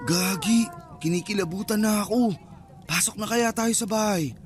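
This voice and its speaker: A man's voice: sits high, moderate pace, slight background noise, lightly acted. A man playing a superstitious, easily spooked young man chatting in slang in a Filipino radio drama. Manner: casual slangy banter, jittery. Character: superstitious, easily spooked young male cousin, construction worker.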